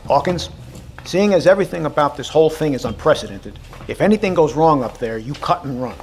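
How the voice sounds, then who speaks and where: A man's voice: a little high, expressive, fairly quick. An American man playing a commanding, wary Navy submarine captain in an audio drama, with clipped, authoritative military delivery. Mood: firm, commanding. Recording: clear ambient background noise.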